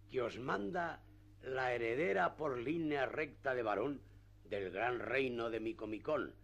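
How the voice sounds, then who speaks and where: A wily village priest in a classic radio drama. He speaks, formal, measured and persuasive as he sells a tall tale. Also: medium pitch, fairly bright tone, exaggerated voice. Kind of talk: radio theatre acting of a classic novel.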